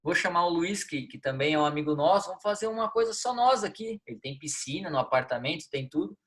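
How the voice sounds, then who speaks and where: A Brazilian man in his thirties, a guest on a podcast, telling stories in a lively way, acting out dialogue and laughing often. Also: fairly high pitch, fast talker. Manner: animated storyteller, acts out dialogue, laughs a lot. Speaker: football coach, guest. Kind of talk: storytelling in a podcast interview.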